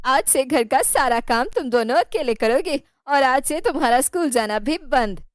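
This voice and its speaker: A woman's voice: high voice, lively intonation, normal speed, no echo, clean studio sound. A woman voicing a cruel, bossy aunt in a cartoon moral story, scolding and threatening in an imperious way. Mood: menacing.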